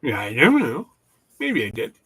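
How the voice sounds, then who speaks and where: A man hosting a casual rambling chat, talking slowly with many pauses and restarts, his voice stuffed up from hay fever. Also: mid-range voice, wildly swooping pitch, bright, forceful tone, slightly rough, no echo. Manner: slow, halting, with many restarts. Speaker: channel host. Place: workshop.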